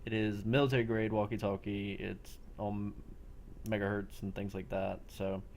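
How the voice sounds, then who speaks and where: A man acting as game master, improvising narration for a role-play game with a creaky, gravelly voice. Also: lowish voice, level tone, moderate pace, a little mellow, slight background noise.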